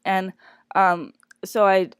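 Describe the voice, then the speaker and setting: A woman's voice: medium pitch, flat delivery, slow pace, clean tone, no room sound, studio quality. A congested woman candidly talking through a stressful work situation, hesitant and full of fillers and restarts. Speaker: speaker sharing a personal work situation. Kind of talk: candid personal monologue.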